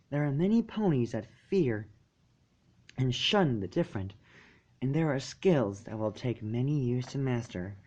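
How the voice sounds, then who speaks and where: A man voicing an ancient, kindly pony princess who speaks in a formal, measured and gently encouraging way, as in a storybook. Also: mid-range voice, highs cut off.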